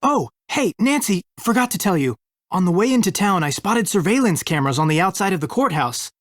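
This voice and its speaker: A man's voice: high-pitched, expressive, a little fast, dry recording, studio quality. An actor playing a laid-back, playful young man who assists a ghost hunter in a video game. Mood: casual, helpful.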